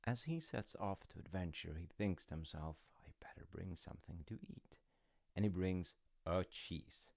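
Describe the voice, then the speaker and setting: A man playing a gentle, wryly amused dad who tells his kid a folktale in an unhurried, musing, conversational way for an audio roleplay. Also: low voice, vocal fry, no echo, studio quality. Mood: amused storytelling. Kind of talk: audio roleplay, rambling bedtime-style storytelling.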